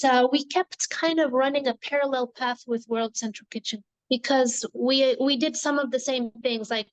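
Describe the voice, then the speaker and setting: High, flat-voiced woman from Morocco. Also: rough recording. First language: English and Moroccan Arabic. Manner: reflective, uses many fillers like "like" and "you know". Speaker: guest, nonprofit founder. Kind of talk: conversational interview.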